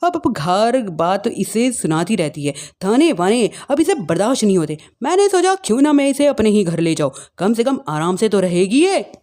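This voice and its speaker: A cocky young boyfriend, acted by a woman in a family story. He is brash, protective and taunting, with animated, varied intonation. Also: medium pitch, normal speed, hardly any pauses, natural voice.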